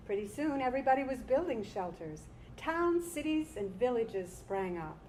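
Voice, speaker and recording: A woman's voice: a little high, sing-song, moderate pace, a little mellow, low-quality recording. A woman performing a staged reading and speaking to a live audience as director and performer. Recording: live stage recording with background noise.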